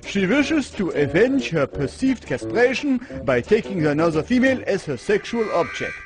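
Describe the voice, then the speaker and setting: A man's voice: sits high, sing-song, normal speed. A man playing a pompous old-school psychoanalyst who lectures in an educational cartoon. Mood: pompous, lecturing. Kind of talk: scripted cartoon parody. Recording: music behind the voice.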